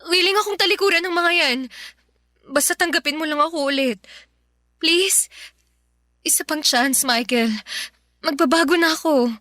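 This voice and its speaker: A woman's voice: very high-pitched, normal speed, pauses often, sharp tone, no room sound, studio quality. An actress playing a clingy, lovestruck young woman in a radio drama, pleading and eager to please.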